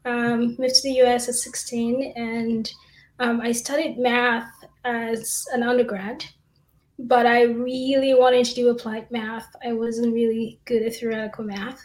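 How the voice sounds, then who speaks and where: A woman's voice: on the high side, unhurried. Woman guest from Cameroon, echoey room. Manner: explanatory, steady, with fillers. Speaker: assistant professor, guest. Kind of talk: interview answers.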